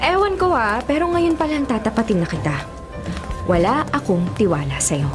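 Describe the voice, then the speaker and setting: A woman's voice: on the high side, animated, moderate pace, over music, close to an everyday voice. An actress with a Filipino accent playing a guarded, bitter young woman who is secretly smitten, in a dramatic radio drama style. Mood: guarded, skeptical.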